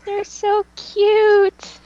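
High-pitched, gushing excitable woman. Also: drawn-out words. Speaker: fan podcast co-host. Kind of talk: casual fan chat. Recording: muffled, noisy amateur podcast recording.

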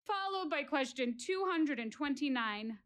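A high-pitched female voice performed by an actress in a one-woman audio play. She is candid, wry and exasperated, speaking straight to her audience like a presenter, with emphatic delivery and theatrical pauses. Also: expressive, fairly bright tone. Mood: sardonic, animated. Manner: emphatic, addresses audience directly, dramatic pauses.

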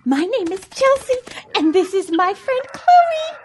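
A woman's voice: extremely high pitch, very animated, a little slow, a little mellow, a little hiss or hum, heavily acted. A woman voicing a sweet, earnest female farm chicken with a sing-song manner in a children's audio drama. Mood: friendly.

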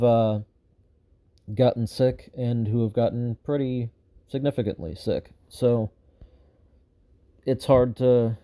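A man with a very dark, mellow voice talks straight to his viewers in an off-the-cuff update. He rambles with frustration, stacking fillers and false starts, and his voice is slightly hoarse. The pitch of his voice sits in a middle range for a man. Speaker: show host giving an update. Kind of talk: unscripted personal update to viewers.

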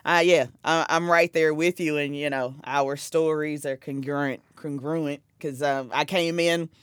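A man's voice: fairly high pitch, steady tone, measured pace, fairly bright tone, clear, resonant tone, no echo, clean studio sound. A man from South Carolina with a Southern US accent, hosting a casual, agreeable chat and often chuckling. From South Carolina, United States. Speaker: podcast host.

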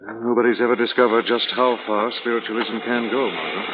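An actor playing a composed, analytical gentleman sleuth in a 1940s radio mystery, speaking in a grave, measured way. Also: lowish voice, fairly even intonation, lightly acted. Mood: grave, pondering. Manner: measured, deliberate, serious. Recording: dull, noisy 1940s radio recording.

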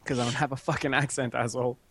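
A man's voice: medium pitch, level tone, quick pace, fairly bright tone, no echo. A young man from Canada hosting a gaming podcast in casual, joking chat, swearing and laughing often. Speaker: podcast host.

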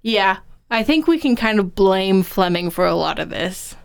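A woman's voice: mid-range voice, animated, normal speed, vocal fry, smooth. A woman co-hosting a podcast, discussing and explaining in a conversational style. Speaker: podcast co-host.

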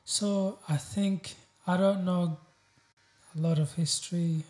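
A man's voice: high voice, fairly even intonation, slow, darkish tone. A man with an East African accent, chatting casually as a guest on a podcast. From Uganda. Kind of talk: casual chat. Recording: roomy echo.

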